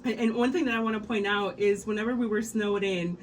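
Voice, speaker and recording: A woman's voice: mid-range voice, steady tone, quick pace, far from the mic, background noise. A woman from Mexico, hosting a radio interview, enthusiastic and rambling with many fillers. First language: Spanish. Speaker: radio host.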